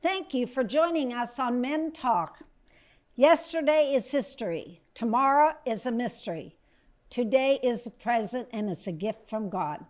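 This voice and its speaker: A woman's voice: a little high, expressive, measured pace, a little mellow. An older woman hosting a live interview in a casual, chatty style. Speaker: talk show host.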